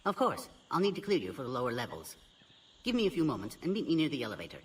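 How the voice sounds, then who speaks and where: Nervy alien bureaucrat in a game, quick and swoopy, polite, defensive. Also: male voice, mid-range voice, wildly swooping pitch, recorded from a distance. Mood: accommodating. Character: anxious, officious male alien scientist-bureaucrat. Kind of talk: video game voice acting.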